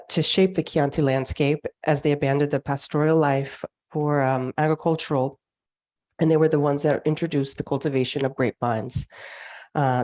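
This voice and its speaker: A woman's voice: sits low, a little mellow. A woman from California with a Californian accent, giving a slide lecture on a video call for a winery, chatty with frequent ums and uhs. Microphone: video call microphone.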